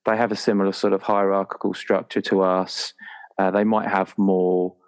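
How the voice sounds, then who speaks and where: A man's voice: low-pitched, level tone, normal speed, smooth. A man chatting casually as a roleplayer talking through his game character. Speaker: podcast guest, tabletop roleplayer.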